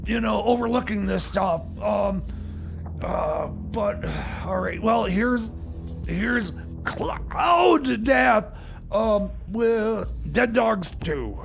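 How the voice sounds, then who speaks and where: A man playing a flustered, apologetic substitute radio DJ on a comedy show, stammering and halting in exasperation. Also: lively intonation, soft background music, full character voice. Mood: exasperated, apologetic. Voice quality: high, heavily creaky voice. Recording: faint background noise.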